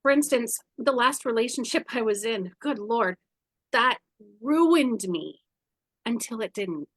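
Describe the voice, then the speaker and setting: A woman in her forties telling personal stories candidly in conversation, animated and blunt, often acting out her own inner dialogue. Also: a little high, a little slow, no echo. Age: 40s. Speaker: guest, spiritual guide.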